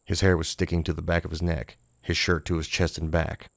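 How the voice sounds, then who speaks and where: A man's voice: deep voice, level tone, fairly quick, creaky, no echo, clean studio sound. A man narrating a scripted horror short story as an audiobook.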